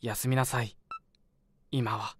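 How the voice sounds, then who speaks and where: A man playing a polite hospital doctor who speaks in a measured, philosophical way. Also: medium pitch, level tone, a little hiss or hum, lightly acted. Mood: calm. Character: calm philosophical male hospital doctor, middle-aged.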